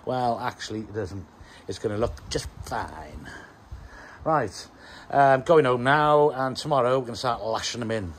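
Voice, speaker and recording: A man's voice: medium pitch, expressive, a little slow, slight background noise. Chatty Welsh man in a garden. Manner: chatty, self-mocking, rambling asides. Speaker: landscaper. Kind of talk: casual how-to vlog. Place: outdoor garden beside a house. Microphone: handheld phone, later a plugged-in mic.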